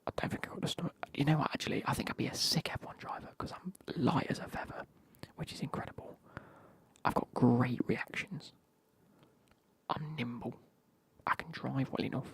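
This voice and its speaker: A man's voice: medium pitch, brisk, vocal fry. A man, whispering as he banters with his live stream chat and swears now and then.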